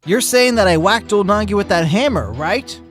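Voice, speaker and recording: A man voicing a hyperactive, boastful sloth fitness instructor in a fan fiction reading, peppy and shouty. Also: high-pitched, animated, normal speed, faint music behind, pitched above the performer's own voice. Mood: boastful, energetic.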